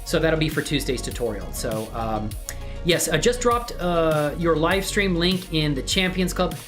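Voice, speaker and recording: A woman's voice: sits low, animated, measured pace, over music. A woman hosting a casual livestream, chatting to viewers in a friendly, exclamatory way. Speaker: live streamer hosting own channel. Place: indoors at a computer.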